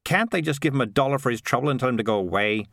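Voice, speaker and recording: A man's voice: mid-range voice, very fast, no echo, clean studio sound. A man voicing a battered, grumpy male cat in a storybook, gloomy and dryly sarcastic. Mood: gloomy sarcasm. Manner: gloomy, sighing, deadpan sarcasm.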